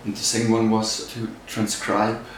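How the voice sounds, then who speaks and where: Man, Austrian accent, native German speaker, sound artist, lowish pitch, heavy room echo, explaining a project. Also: completely flat delivery, moderate pace. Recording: roomy echo, faint noise.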